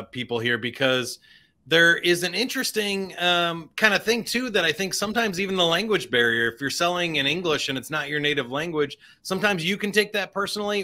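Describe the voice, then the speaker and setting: A man hosting a podcast interview, asking questions in a relaxed, conversational way. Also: on the high side, a little fast. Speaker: podcast host.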